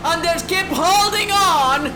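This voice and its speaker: A high-voiced woman calls a sim race with a strained, sore throat, swearing freely and shouting at the action. Her voice rings in an echoey room. Music plays under her. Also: unhurried. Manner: swears a lot, shouts at big moments, drops to a low tone to save her voice. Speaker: race commentator. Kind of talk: excited sim racing commentary. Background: background music.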